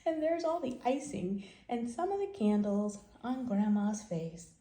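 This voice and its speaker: Expressive woman storyteller in an echoey room. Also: on the high side, a little slow. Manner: simple explaining, repeats and rephrases for children. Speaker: children's storybook read-aloud host. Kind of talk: read-aloud storytelling with explanatory asides to children.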